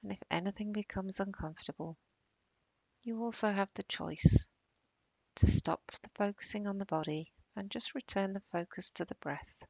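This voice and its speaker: A woman guiding a body-scan meditation in a calm, soothing, unhurried way, with long pauses and soft, breathy phrase endings. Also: mid-range voice, dry recording, poor audio. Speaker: meditation guide.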